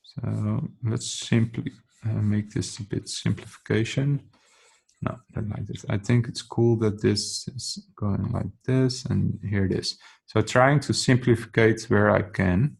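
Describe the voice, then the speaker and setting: Dutch-accented male art tutor, non-native English, gravelly and slow-paced, talks through his sketch. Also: fairly low pitch, steady tone. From Netherlands. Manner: thinking aloud while sketching, halting with fillers and restarts. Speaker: drawing tutor. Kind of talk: casual drawing tutorial commentary.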